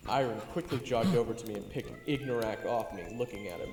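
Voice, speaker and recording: A man's voice: mid-range voice, brisk, gravelly, lightly acted. A man playing a goofy, boastful young human adventurer who tells his own tale in an audio drama, theatrical and joking. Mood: storytelling. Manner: theatrical, joking, conversational storytelling. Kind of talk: first-person audio drama narration and dialogue. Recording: echoey, reverberant, music underneath. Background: music.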